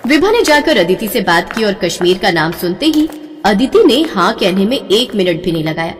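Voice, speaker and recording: A woman's voice: medium pitch, sing-song, moderate pace, in a large hall. A woman reading scripted story narration as a storyteller.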